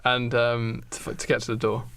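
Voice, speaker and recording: A man co-hosting a comedy podcast, making up a story in casual, halting chat, piecing it together as he goes. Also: medium pitch, completely flat delivery, gravelly, fairly bright tone, slight background noise. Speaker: podcast co-host.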